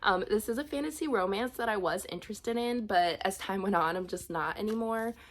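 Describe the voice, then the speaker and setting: A woman's voice: mid-range voice, brisk. A young woman with a cold, sounding sniffly as she chats casually about books, with frequent ums and restarts. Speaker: book vlogger. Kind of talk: casual book unhaul chat.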